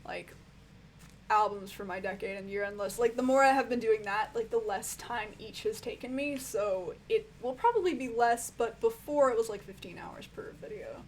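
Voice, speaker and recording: A woman with a croaky voice, a music reviewer answering viewer questions in a rambling, casual way full of fillers. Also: fairly high pitch, moderate pace, a little hiss or hum. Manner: rambling, filler-heavy, frank and swearing. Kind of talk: casual Q&A chat.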